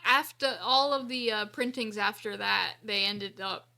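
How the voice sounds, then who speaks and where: A woman's voice: mid-range voice, unhurried, creaky, sharp tone. A woman chatting casually as a co-host on a podcast.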